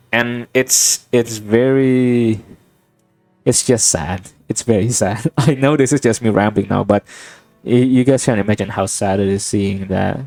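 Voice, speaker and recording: Young man from Indonesia, native Indonesian speaker, lowish voice, reflective rambling vlog talk with many restarts, dry close sound. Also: gravelly, dark tone, dry recording. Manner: thoughtful, halting, with many restarts and fillers. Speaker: gaming vlogger. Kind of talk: rambling reflective monologue over gameplay.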